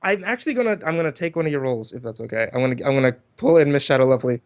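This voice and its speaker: A man's voice: medium pitch, expressive, rapid-fire. A man hosting a casual livestream over an online group call, rambling and restarting his phrases as he organizes the cast.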